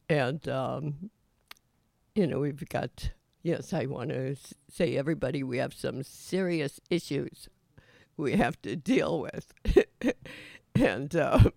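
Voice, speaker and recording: A man hosting a radio show in a rambling, casual monologue, with a raspy voice, chuckling often and trailing off into fillers and restarts. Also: medium pitch, darkish tone, no room sound.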